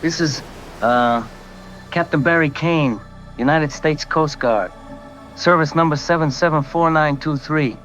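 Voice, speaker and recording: An actor playing a shaken coast guard captain who gives a hesitant, halting statement. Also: male voice, on the high side, fairly bright tone, faint music behind. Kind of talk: audio drama testimony.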